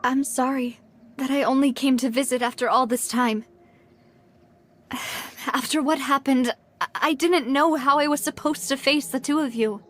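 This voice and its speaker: A woman's voice: sits high, steady tone, normal speed, a bit bright, slight background noise. A woman voicing an earnest, guilt-ridden young woman in a video game, speaking in a heartfelt, formal way. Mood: guilty, sorrowful.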